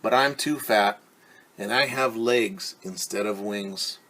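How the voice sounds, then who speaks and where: A man's voice: lowish voice, slow pace, pushed and bright, exaggerated voice, poor audio. A man voicing a wistful, plump little storybook caterpillar who sighs and longs to fly. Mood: wistful, sighing. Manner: sighing.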